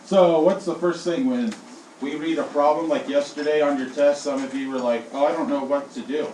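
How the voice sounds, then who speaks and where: Man, classroom teacher, animated call-and-response, echoey room, distant noisy recording. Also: normal speed. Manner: animated, swings voice high and low to drill the class. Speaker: elementary school teacher. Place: classroom, indoors. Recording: echoey classroom from far off, with noise.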